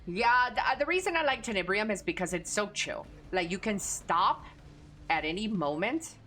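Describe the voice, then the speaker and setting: A woman's voice: mid-range voice, expressive, moderate pace, a bit bright, soft background music. A woman with a Texan Southern accent chatting casually and crudely with her audience while streaming a game. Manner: crude, profane, laid-back. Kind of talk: casual gaming stream chat.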